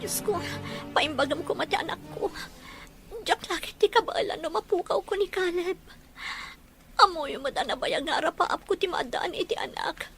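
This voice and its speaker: A woman's voice: very high-pitched, normal speed, a bit bright, soft background music. A woman playing an anguished young mother of a sick child in an Ilocano audio drama, tearful and pleading.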